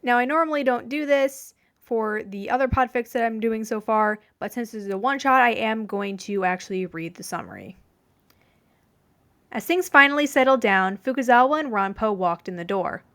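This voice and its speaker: A woman reading fan fiction aloud as an expressive scripted narration. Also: fairly high pitch, moderate pace. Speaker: podfic reader.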